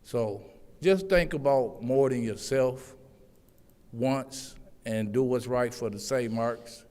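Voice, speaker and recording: Loud earnest man at a council podium. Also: medium pitch, slow pace. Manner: loud, earnest, pleading delivery. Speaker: public commenter. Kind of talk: public comment at a council meeting. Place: echoey city council chambers. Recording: long hall reverb.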